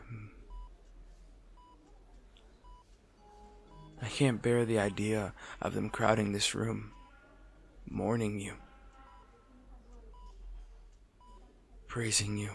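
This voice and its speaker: A man playing an anguished, possessive villain in an intimate audio roleplay monologue, halting and brooding, his gravelly voice often slipping toward a whisper. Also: lowish voice, fairly bright tone. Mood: possessive, grieving. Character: anguished, possessive male villain at rival hero's bedside.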